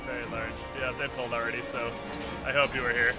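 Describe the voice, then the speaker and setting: A man's voice: medium pitch, flat delivery, quick pace. A man giving casual, joking gaming commentary as a streamer, with some swearing. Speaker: game streamer. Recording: music behind the voice. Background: game music.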